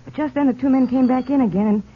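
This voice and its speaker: An actress playing a shaken American crime witness in a radio drama, speaking plainly in a hesitant, nervous way. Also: female voice, sits high, close to an everyday voice. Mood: recounting. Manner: halting, nervous, plain-spoken. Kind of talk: 1950s radio drama acting. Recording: muffled, noisy old-time radio.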